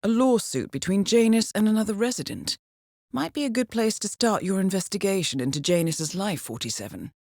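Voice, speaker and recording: Cool shrewd lady spy handler for a game, formal n dry wit, pitch moves around a lot, very dry close sound. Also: lively intonation, a little mellow, no room sound. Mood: composed, informative. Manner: measured, formal, coolly understated with dry wit. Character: composed, shrewd female intelligence handler briefing an assassin. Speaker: mission handler guiding an assassin. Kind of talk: scripted video game voice acting, briefing narration.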